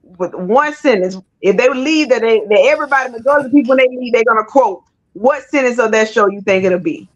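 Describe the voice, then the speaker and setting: A woman's voice: fairly high pitch, quick pace, lo-fi sound. A woman hosting a panel interview conversationally, affirming her guests and asking roundabout questions. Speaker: interview host.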